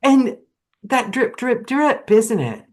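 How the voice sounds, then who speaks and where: A woman's voice: on the high side, sing-song, takes it slowly. A woman hosting an interview, emphatic, supportive and playful with her guest. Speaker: podcast host. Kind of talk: casual interview chat.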